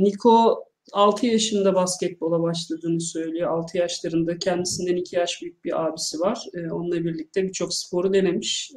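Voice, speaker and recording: A man in his thirties with a Turkish accent, interviewing over a video call and translating, halting with many fillers. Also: high voice. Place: small home room with shelves. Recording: echoey room.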